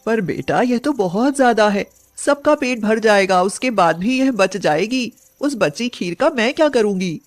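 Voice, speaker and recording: A woman voicing a poor, tender-hearted old village woman in a storybook tale, speaking gently and devoutly. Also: fairly high pitch, lively intonation, measured pace, few pauses. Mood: gentle, puzzled. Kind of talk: acted storybook dialogue.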